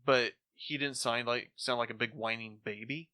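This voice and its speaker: A man's voice: medium pitch, steady tone, normal speed, bright, forceful tone, dry recording. A man speaking casually as a podcast panelist, discussing and reviewing. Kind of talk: casual discussion of radio drama.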